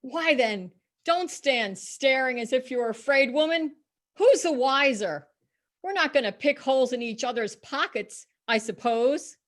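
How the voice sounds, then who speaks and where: A woman's voice: sits high, expressive, measured pace. A woman playing a bold, defiant Victorian charwoman in a dramatic story reading, speaking with scornful emphasis. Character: bold, defiant, unrepentant Victorian charwoman selling stolen goods.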